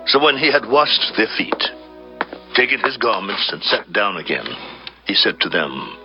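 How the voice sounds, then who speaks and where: Low-voiced man solemnly narrating scripture. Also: big pitch swings. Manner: solemn, measured storytelling. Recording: slightly dull, band-limited audio with faint music. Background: faint music.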